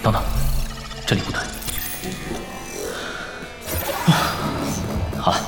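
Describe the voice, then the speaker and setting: An actor playing a laid-back, skilled male pro gamer, calm and focused, in a Chinese audio drama. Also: fast talker, loud music behind the voice, lightly acted.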